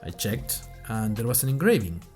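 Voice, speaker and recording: A man playing a bemused university student who narrates a first-person audio diary in a dry, musing, deliberate way. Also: lowish voice, very animated, a little mellow, faint music behind. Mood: bemused, uneasy. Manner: dry, wry, musing in short deliberate phrases. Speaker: narrator of a fiction podcast.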